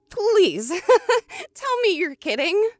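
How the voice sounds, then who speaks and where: A woman's voice: very high-pitched, big pitch swings, dry recording, heavily acted. A woman playing a frightened, anxious woman trapped with a stranger in a horror audio drama, in dialogue and first-person narration. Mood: hysterical pleading. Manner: stammering and pleading when scared, hushed and measured when narrating.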